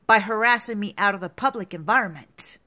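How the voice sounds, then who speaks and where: A woman's voice: medium pitch, a little fast, sharp tone. A woman in her fifties in an agitated, repetitive rant to the camera, heavy with profanity. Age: 50s. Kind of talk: angry monologue to camera.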